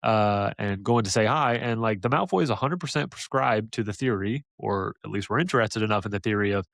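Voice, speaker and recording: A man co-hosting a casual podcast, animated and laughing often, trailing off into fillers. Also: fairly low pitch, a little fast, creaky, no room sound, studio quality. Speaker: podcast co-host.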